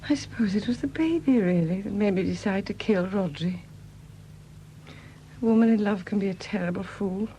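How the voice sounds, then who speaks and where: A woman's voice: mid-range voice, normal speed, dark tone, close to an everyday voice. Weary, guarded widow, acted by a woman, old radio drama. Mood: defeated, confessional. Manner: guarded, defensive, world-weary. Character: weary, evasive, emotionally numb widowed woman suspect. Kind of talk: 1970s British radio drama acting. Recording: dated, faintly noisy 1970s radio recording.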